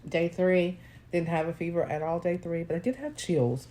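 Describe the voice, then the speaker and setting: A woman with a naturally deep voice, hoarse while ill, telling a casual, rambling personal story and correcting herself as she goes. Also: normal speed, dark tone. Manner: rambling, self-correcting, conversational. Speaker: vlogger.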